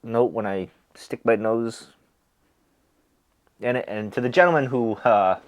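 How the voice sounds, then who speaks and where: A man's voice: mid-range voice, a little slow. A man with a stuffy, nasal voice, chatting casually with lots of fillers and restarts. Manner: rambling, easygoing, many fillers and restarts. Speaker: pipe smoking video host. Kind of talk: casual chat.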